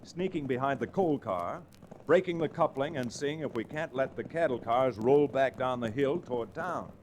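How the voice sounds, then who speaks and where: Actor as a confident young Western hero, noisy recording. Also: male voice, mid-range voice, moderate pace, darkish tone, lightly acted. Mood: determined, wry. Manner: commanding, wry. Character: confident young cowboy lawyer hero named Chad. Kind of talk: 1940s radio Western acting. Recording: noisy old 1940s radio recording.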